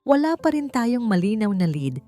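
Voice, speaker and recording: A woman's voice: on the high side, very animated, moderate pace, warm tone, pitched above the performer's own voice. A Filipino woman voicing an authoritative female head doctor in an audiobook, formal and firm. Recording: music bed under the voice.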